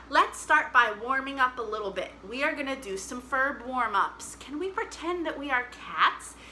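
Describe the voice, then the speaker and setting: A woman with a high, bright voice leads a storytime for young children. She is animated and encouraging, addressing the children directly in a playful way. There is clear background noise in the recording. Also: poor audio. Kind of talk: interactive children's musical storytime.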